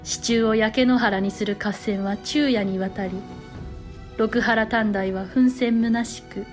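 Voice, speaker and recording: A woman's voice: a little high, steady tone, a little slow, faint music behind, natural voice. A woman voicing a courtly noblewoman who narrates a period drama with polite, formal storytelling. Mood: solemn. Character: courtly noblewoman chronicling a war of old Japan.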